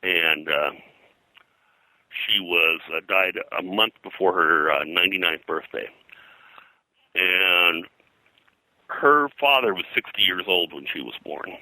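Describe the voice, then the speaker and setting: A man's voice: low-pitched, slow pace, pauses often, sharp tone, dry recording, poor audio. An older man being interviewed as a historian, telling anecdotes in a rambling, hesitant way full of digressions. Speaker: pop culture historian, interview guest.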